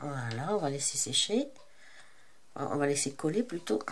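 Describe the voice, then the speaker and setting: A woman's voice: low-pitched, very animated, moderate pace, slight background noise. French woman with a head cold, hesitant. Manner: hesitant, lots of fillers and self-corrections. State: congested with a cold. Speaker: crafting channel host. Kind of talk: casual tutorial narration while crafting.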